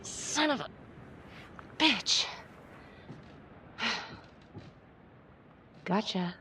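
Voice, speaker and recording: A woman's voice: medium pitch, animated, extremely slow, bright, forceful tone, a little hiss or hum, full character voice. A woman playing a tough, sardonic homicide detective in a naturalistic crime-thriller game, with a blunt, muttering delivery. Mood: intent, muttering.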